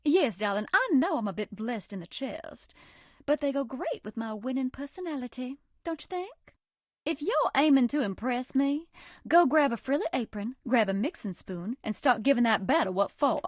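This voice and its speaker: A sugary, high-voiced Southern belle. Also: female voice, expressive, moderate pace, no echo, studio quality. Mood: flirty, folksy. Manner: coy, sugary, folksy. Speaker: voice actress. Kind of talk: video game character voice lines.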